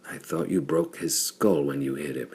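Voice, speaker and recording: A man's voice: a bass voice, normal speed, vocal fry, darkish tone, husky, exaggerated voice. A man voicing a tough, blunt male adventurer in a pulp science fiction audiobook. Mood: apologetic.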